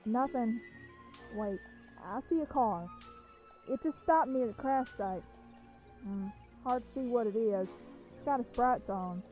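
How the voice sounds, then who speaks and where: A woman's voice: fairly high pitch, unhurried, pauses often, dark tone, soft background music, natural voice, low-quality recording. A woman playing a testy giantess supervillain in a comic radio play, sarcastic and given to complaining. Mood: watchful.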